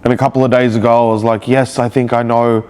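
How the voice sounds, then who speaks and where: A man's voice: mid-range voice, level tone, fast talker, darkish tone, clear, resonant tone. Stuffy-nosed man rambling emotionally. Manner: rambling, emotional, searching for words. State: nasal from a cold. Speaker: vlogger. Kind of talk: confessional video diary.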